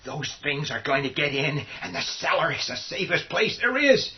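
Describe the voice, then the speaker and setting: A defensive, stubborn man in a horror radio drama. His very high voice swoops with agitation as he argues, on slightly muffled audio. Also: big pitch swings, normal speed. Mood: agitated, stubborn. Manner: agitated, argumentative. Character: stubborn, panicky, defensive family man survivor. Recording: dull lo-fi audio.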